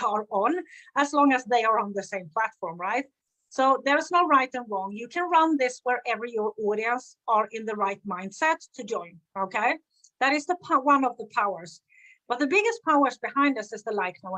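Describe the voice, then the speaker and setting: A middle-aged woman from Sweden with a Swedish accent, a coach giving an earnest, energetic live-streamed talk with many "right?" checks. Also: a little high, poor audio. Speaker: online business coach.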